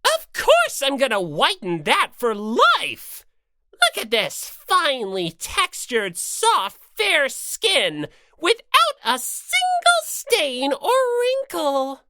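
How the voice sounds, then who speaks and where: Audiobook villain: smug, bossy bear mascot, slow taunts, hugely animated pitch. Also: no echo, clean studio sound, full character voice. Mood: vain, self-satisfied. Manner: mocking, theatrical, drawn-out taunting delivery. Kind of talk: character voice in fan audiobook.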